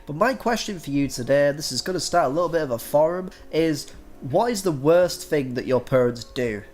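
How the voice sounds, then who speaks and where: A man's voice: a little high, expressive, a little fast, a little mellow. A man in his twenties with a head cold, giving casual let's-play commentary. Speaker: gaming YouTuber. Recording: music behind. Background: background music.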